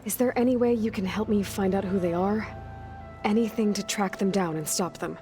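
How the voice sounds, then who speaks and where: A woman's voice: mid-range voice, normal speed, mellow, soft background music, lightly acted. A woman playing a young, determined outcast hunter in a video game, speaking plainly with terse, dry humor. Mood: purposeful. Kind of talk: naturalistic video game acting.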